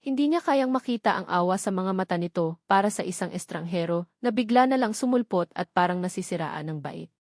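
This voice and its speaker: A woman's voice: fairly low pitch, lively intonation, a little fast, few pauses, darkish tone, no room sound. Woman narrating a romance, dry studio sound. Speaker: audiobook narrator. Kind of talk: scripted romantic story narration.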